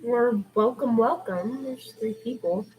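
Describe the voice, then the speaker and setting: A woman's voice: on the high side, a little slow, highs cut off. A woman reading fan fiction aloud on an amateur livestream, with casual asides. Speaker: podfic reader and live streamer. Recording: clearly noisy amateur livestream recording.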